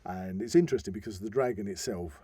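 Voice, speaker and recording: A man's voice: lowish voice, fast talker, mellow, slightly rough, no echo. A man explaining things enthusiastically in a casual podcast chat, often wandering into asides as he tells the story. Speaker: podcast host.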